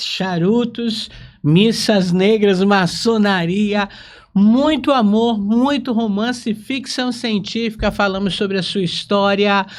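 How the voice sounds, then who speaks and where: A podcast interviewer who talks slowly and deliberately, at a middling pitch. The delivery is casual and informal, as in a relaxed conversation with a guest.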